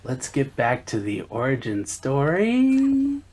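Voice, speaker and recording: A woman playing an eager, excitable sidekick and timekeeper who gushes with exclamations. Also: very deep voice, slow, full character voice. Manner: gushing, lots of oh and hey exclamations. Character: eager excitable young sidekick of a radio crew. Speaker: timekeeper of the show.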